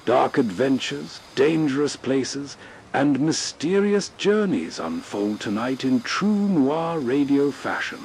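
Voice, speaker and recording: A man hosting with scripted, atmospheric radio-night narration in a measured storytelling manner. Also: medium pitch, big pitch swings, gravelly, darkish tone, slight background noise. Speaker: host narrator.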